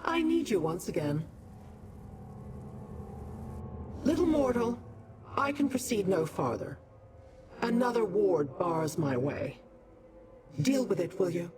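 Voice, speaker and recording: Fantasy game role: imperious otherworldly being, impatient with mortals, formal diction, wide swooping intonation, clear background noise. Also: mid-range voice, sing-song, a little slow. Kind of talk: fantasy video game voice acting.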